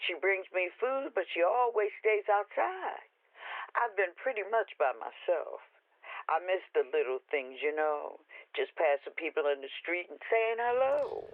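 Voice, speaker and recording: A woman acting as a frightened, lonely elderly woman in a phone drama. Her plain, folksy talk rambles in a low voice that swings widely in pitch. It comes through a thin, narrow telephone line. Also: expressive, unhurried, no echo. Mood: subdued, matter-of-fact. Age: older.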